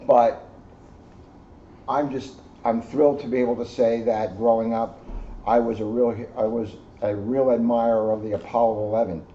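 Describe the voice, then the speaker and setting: A middle-aged American man giving an informal educational talk in a deliberate, emphatic way, phrase by phrase. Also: medium pitch, level tone, warm tone. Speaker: police officer reading to children. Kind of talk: informal educational talk and picture-book reading. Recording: muffled audio with clear background noise.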